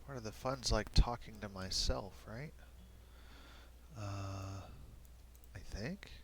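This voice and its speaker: A man's voice: on the low side, takes it slowly, slight background noise. A man live-streaming alone at his desk mic, rambling and thinking aloud with many fillers, a bit sniffly. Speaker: streamer making battle maps. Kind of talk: casual live-stream talk to himself. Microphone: desk microphone. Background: quiet background music.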